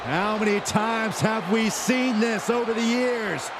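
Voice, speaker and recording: An American man commentating on wrestling in an excited, emphatic announcing style. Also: sits high, unhurried, very noisy. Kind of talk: professional sports commentary for a wrestling video game. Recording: very loud crowd and music behind. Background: roaring crowd and music.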